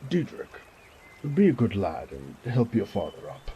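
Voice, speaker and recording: A man's voice: lowish voice, huge pitch swings, a little slow, muffled recording, slight background noise. A man playing a kindly elderly nobleman and father in an audio drama, effusive and genteel with old-fashioned phrasing. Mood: delighted, fussy. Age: older. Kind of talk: audio roleplay drama acting.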